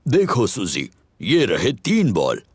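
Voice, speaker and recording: A man's voice: lowish voice, wildly swooping pitch, slow, slightly rough, dry recording, clean studio sound, heavily acted. A man voicing a jolly father pig who plays a grand stage magician in a children's cartoon, theatrical and playful. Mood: showy, jolly. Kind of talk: children's cartoon dub dialogue.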